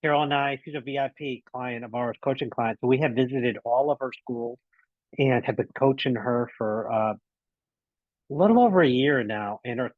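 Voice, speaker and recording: A man's voice: mid-range voice, moderate pace, no echo, narrow, tinny audio. A man hosting a podcast interview, upbeat and rambling, often restarting his sentences. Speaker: podcast host.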